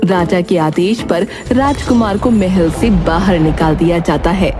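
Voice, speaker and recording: A woman's voice: fairly low pitch, a little slow. A woman giving scripted narration of a fairy tale as the storyteller. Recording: music under the voice.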